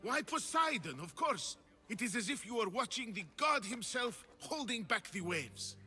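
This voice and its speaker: Game role: effusive sculptor, high bright voice, swooping delivery. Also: male voice, sing-song, normal speed, soft background music, full character voice. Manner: theatrical, effusive, flowery. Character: excitable, flowery male artist of ancient Greece. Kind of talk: video game cutscene acting.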